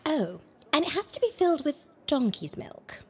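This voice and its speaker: A woman's voice: high-pitched, big pitch swings, normal speed, a little mellow. A woman playing a bored, ruthless young Egyptian queen in a comic audio drama, with a giggly, theatrical, flippant delivery. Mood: coolly demanding.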